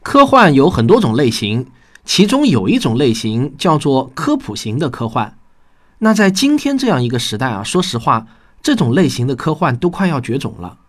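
A man's voice: mid-range voice, big pitch swings, normal speed. A man hosting a podcast and talking to listeners about his own writing in an earnest, explanatory way. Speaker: podcast host and science fiction writer. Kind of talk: scripted podcast talk to listeners.

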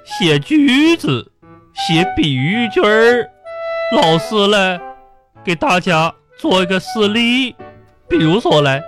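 A woman playing a bossy, exasperated schoolteacher in a radio comedy sketch. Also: mid-range voice. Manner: theatrical scolding, drawn-out folksy particles. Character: exasperated scolding female schoolteacher, bossy and theatrical.

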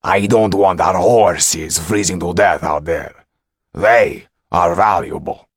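A man's voice: deep voice, takes it slowly, breathy edge, dry recording, clean studio sound, heavily acted. A man voicing a menacing, haughty steward in a curt, cold, commanding manner for a storybook.

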